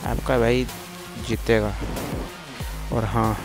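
A man with a sore, hoarse throat, giving excited trash-talking gameplay commentary. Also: mid-range voice, slow. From India. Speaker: gaming channel host. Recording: commentary over loud game music and gunfire.

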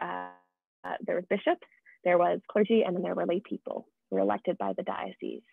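Young American woman, presenter on a video call, creaky voice, dull narrow audio, informal and explanatory. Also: medium pitch, dry recording. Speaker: project presenter. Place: indoors at home, on video call. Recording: muffled, band-limited video call audio.

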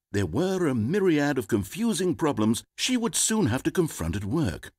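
A man's voice: low-pitched, slightly rough, no echo, exaggerated voice. A man voicing a wry, sardonic male narrator in a video game, telling the story in a theatrical, dry storybook manner. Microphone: close studio mic.

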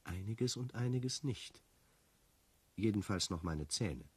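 An actor playing an evasive bridegroom with dry, laconic humour in a radio play. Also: male voice, fairly low pitch, expressive, slow, slightly rough, full character voice. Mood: dry, subdued. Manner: laconic, evasive, dryly self-mocking. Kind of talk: German radio play acting.

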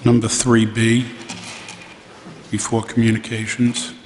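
A man's voice: medium pitch, completely flat delivery, slow. Middle-aged man chairing in echoing hall. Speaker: school board chair. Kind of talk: formal meeting procedure. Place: large indoor council chamber. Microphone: gooseneck microphone on dais. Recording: reverberant meeting hall, faint noise.

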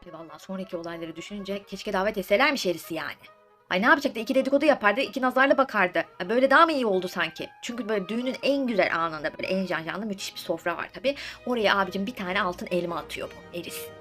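A woman tells a story like juicy gossip, with music playing behind her. Her voice sits at a middle pitch and she talks briskly, in casual slang. She is theatrical and sarcastic, throwing in mock-indignant asides and rhetorical questions. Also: fairly bright tone. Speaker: storyteller host of a comedic mythology series. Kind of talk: comedic casual storytelling, retelling myths in slang. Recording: voice over background music.

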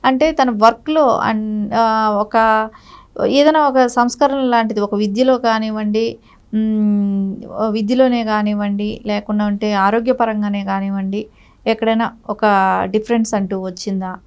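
A woman's voice: mid-range voice, steady tone, normal speed, darkish tone, clear, resonant tone. A middle-aged woman giving critical, argumentative political commentary in a studio interview, often posing rhetorical questions. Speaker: political party spokesperson, interview guest. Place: TV news studio, indoors.

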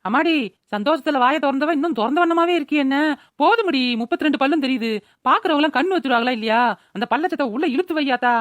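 A woman's voice: high-pitched, fast, no echo, lightly acted. A woman with a rural Tamil Nadu accent voicing a nagging but doting older village mother in a cartoon. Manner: nagging, colloquial, exclaiming. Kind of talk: Tamil cartoon voice-over acting.

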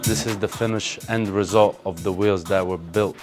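A man's voice: low voice, fairly even intonation, moderate pace, darkish tone. A man in his thirties hosting a casual, hyped walkthrough tour of a factory, speaking in laid-back street slang. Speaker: vlog host touring a wheel factory. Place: large indoor factory floor. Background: background music.

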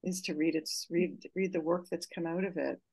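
A woman novelist on a video call, musing at length with many pauses and fillers. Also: fairly low pitch, steady tone, darkish tone, breathy edge, dry recording, poor audio. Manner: thoughtful, halting with many fillers. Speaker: novelist panelist. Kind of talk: casual panel discussion.

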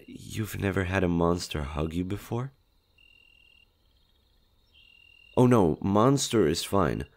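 A man voicing a shy, gentle skinwalker monster in an audio roleplay, speaking hesitantly with innocent curiosity about humans. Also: low voice, vocal fry. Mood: tender, curious. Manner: hesitant, halting, innocent wonder. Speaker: voice actor. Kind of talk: intimate audio roleplay, one-sided dialogue.

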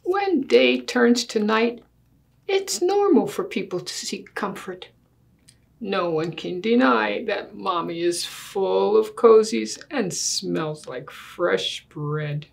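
Very gravelly older woman, slow reader. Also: medium pitch, lively intonation. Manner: deliberate, asking listeners questions. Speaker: story time reader. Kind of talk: read-aloud picture book for children. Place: small indoor room with books and wall quilt.

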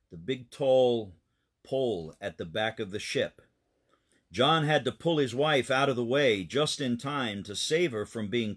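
A man narrating a children's story in an expressive, dramatic storytelling manner. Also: mid-range voice. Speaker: pastor reading a children's story.

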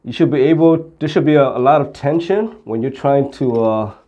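A middle-aged man teaches auto repair in a plain, hands-on manner. His voice is mid-pitched and very mellow, without much edge. He goes step by step, and the sound is a little dull. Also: normal speed. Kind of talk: hands-on instructional explanation to camera.

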